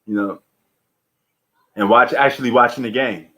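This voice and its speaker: A man's voice: medium pitch, normal speed, bright, forceful tone. Slangy, bantering man hosting a sports stream. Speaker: livestream sports host. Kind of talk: casual live sports chat and commentary.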